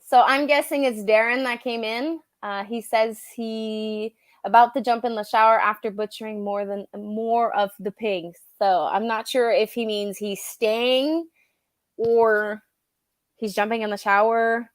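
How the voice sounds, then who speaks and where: A woman's voice: a little high, fairly even intonation, measured pace, no echo. French Canadian woman, native French speaker, chatty live-stream co-host, dry close sound. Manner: chatty, laughs easily, searches for words. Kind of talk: casual live-stream chat.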